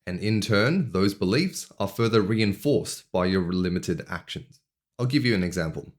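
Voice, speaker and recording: A man's voice: low voice, animated. Earnest young man. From Australia. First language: not English. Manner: measured, earnest storytelling with deliberate pauses. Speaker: vlogger. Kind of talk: reflective personal monologue.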